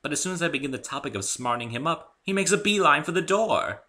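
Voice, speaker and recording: Man playing posh, gushing lady unicorn designer for a story reading: raised pitch, swooping, theatrical drawn-out emphasis. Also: expressive, pushed and bright. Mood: regretful. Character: refined, theatrical female unicorn fashion designer, gushing and gossipy.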